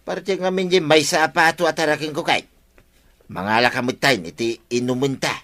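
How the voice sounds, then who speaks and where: A man's voice: on the high side, fairly quick, fairly bright tone. An actor with an Ilocano accent playing a cheeky, wheedling, argumentative village man in a radio comedy drama. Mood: coaxing.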